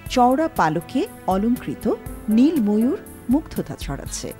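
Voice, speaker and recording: A woman's voice: on the high side, very animated, measured pace. A woman with a Bangladeshi accent reading scripted narration for a news feature. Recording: prominent background music. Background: music.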